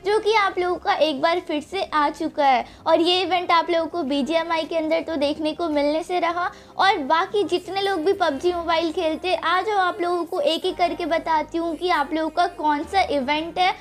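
Very high-pitched young Indian woman. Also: fairly even intonation, moderate pace, few pauses. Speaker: gaming channel host. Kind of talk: explanatory game event walkthrough, addressing viewers. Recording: voice-over with music underneath. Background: background music.

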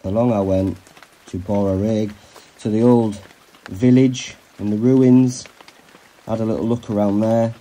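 A man's voice: on the low side, fairly even intonation, unhurried, long pauses, dark tone, rough recording. A man, vlogging casually on a long hike, chatting in a tired, rambling way and laughing often. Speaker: hiking vlogger. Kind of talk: casual vlog chat and map recap. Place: outdoors on a country road and moorland. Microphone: camera held at arm's length.

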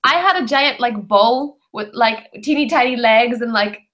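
A woman's voice: on the high side, normal speed, a bit bright. A woman, chatting frankly and casually about books, with lots of filler "like". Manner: chatty, frank, uses "like" often. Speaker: book club panelist. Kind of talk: casual book discussion. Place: bedroom, indoors, on a video call. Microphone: computer mic on a video call.

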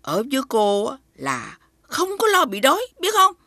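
An actress playing a bossy, sly woman food seller in an animated folk tale, with a Southern Vietnamese accent. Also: high-pitched, normal speed, no echo, studio quality, full character voice. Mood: coaxing. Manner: scolding, switches to coaxing.

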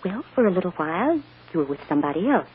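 Tender, expressive radio-drama mother. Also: lowish voice, quick pace. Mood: gently explaining. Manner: soft, emotional, coaxing endearments. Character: tender, earnest young mother reassuring her adopted daughter. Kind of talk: old-time radio soap drama acting. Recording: muffled, noisy old radio recording.

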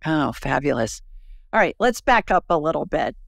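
A woman's voice: low voice, very animated, normal speed, vocal fry, no echo, clean studio sound. A woman hosting an interview, asking questions with upbeat interjections, recorded on a podcast mic in a room at home. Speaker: host. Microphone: podcast mic on a stand.

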